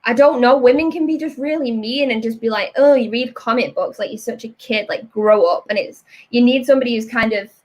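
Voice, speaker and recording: A British woman hosting a casual chat while inking comics, lively, frank and chatty. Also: on the high side, brisk, hardly any pauses. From Britain. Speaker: host, comic artist.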